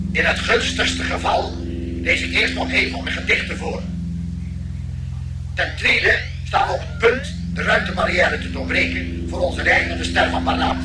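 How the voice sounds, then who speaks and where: Over heavy noise and from some distance, a surly alien starship captain, played by a man, barks out a shipboard announcement. The voice is pitched high, bitter and full of self-importance, in radio drama style. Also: lightly acted. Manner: stern, barking, self-important.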